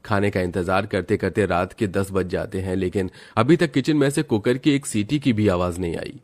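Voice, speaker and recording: A man's voice: lowish voice, moderate pace, darkish tone. A man reading scripted narration for a family story.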